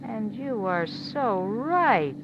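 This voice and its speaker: An actress playing a cool, threatening young femme fatale with clipped, mocking delivery, in 1950s radio thriller style. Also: female voice, mid-range voice, sing-song, very slow. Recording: muffled, noisy 1950s radio recording.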